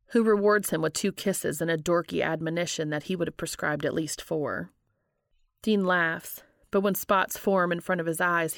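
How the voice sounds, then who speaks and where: Female narrator, lower register with noticeable vocal fry, reading fiction in a close, dry sound. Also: no room sound. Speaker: audiobook-style reader of fan fiction. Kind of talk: dramatic scripted narration of a story.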